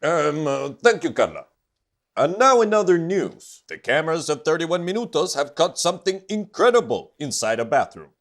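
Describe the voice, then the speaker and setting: A man's voice: a little high, sing-song, normal speed. A man voicing a self-important puppet news anchor, theatrical and showy, in a fan-dubbed mock newscast. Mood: showy, pompous.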